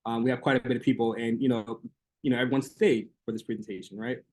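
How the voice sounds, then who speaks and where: A man's voice: medium pitch, steady tone, fast, a little mellow, low-quality recording. A man presenting research in an academic Q&A on a video call, talking hesitantly with many fillers and restarts.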